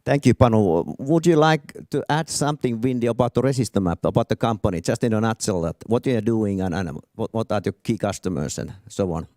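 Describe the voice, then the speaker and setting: A man's voice: on the low side, animated, a little fast, few pauses, dark tone, no room sound. Man, panel moderator, hesitant, dry close sound. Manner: hesitant, restarts sentences. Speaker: moderator, business advisor. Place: event stage. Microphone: handheld.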